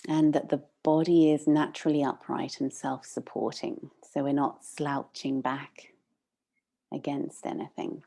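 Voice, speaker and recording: Female meditation guide, deep relaxed tone with creaky edges, unhurried and gently instructive. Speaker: meditation teacher.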